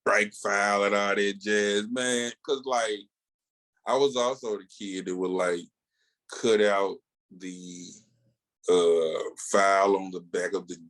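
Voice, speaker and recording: A man's voice: fairly low pitch, fairly even intonation, slow, fairly bright tone. An American man co-hosting a podcast in casual fan chat, telling stories enthusiastically with slangy asides. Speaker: comics podcast co-host.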